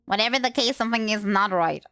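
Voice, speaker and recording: A woman's voice: medium pitch, quick pace, fairly bright tone, dry recording, clean studio sound. A woman livestreamer giving casual commentary over a game.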